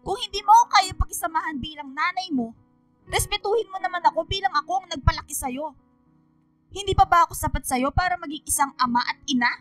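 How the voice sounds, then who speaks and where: A woman's voice: extremely high pitch, expressive, fast, fairly bright tone, no room sound. A woman playing a long-suffering, emotional single mother with a Filipino accent in a radio drama, motherly and scolding. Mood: angry, wounded. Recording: music bed underneath.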